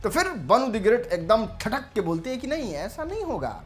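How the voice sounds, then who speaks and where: High-pitched woman with dramatic delivery. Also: very animated, fairly quick, faint music behind. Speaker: commentary channel host. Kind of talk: informal gossip commentary to viewers.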